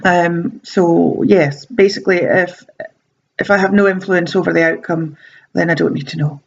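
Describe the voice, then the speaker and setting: A woman's voice: lowish voice, steady tone, normal speed, gravelly, dull audio. A woman with a slight sore throat giving casual self-help advice, chatty and meandering with frequent ums and restarts. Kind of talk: casual talking-to-camera advice.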